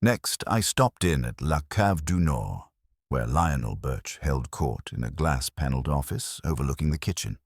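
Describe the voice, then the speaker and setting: Very deep-voiced male detective story narrator. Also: animated, moderate pace, breathy edge, dry recording, clean studio sound. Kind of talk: scripted first-person detective story narration.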